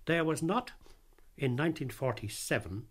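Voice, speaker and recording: A man's voice: fairly high pitch, lively intonation, husky. Emphatic, precise man. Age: middle-aged or older. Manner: emphatic, precise, deliberate phrasing with repetition for effect. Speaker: radio drama founder giving his recollections. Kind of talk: scripted personal radio talk.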